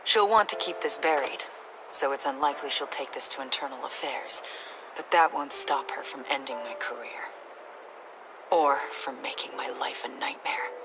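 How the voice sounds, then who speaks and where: An earnest, troubled agent in a game, with a low voice that often turns breathy. She speaks in a hushed, hesitant way over clear ambient noise. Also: moderate pace. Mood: resigned. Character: Avesta, earnest troubled adult female government agent. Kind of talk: naturalistic video game acting.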